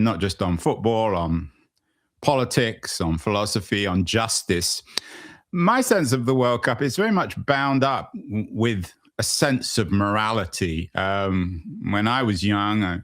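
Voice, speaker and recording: A man hosting an interview podcast, with a fairly low-pitched voice. He asks long, winding questions full of pauses and fillers. His delivery is hesitant and loose. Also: animated, fairly bright tone. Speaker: podcast host.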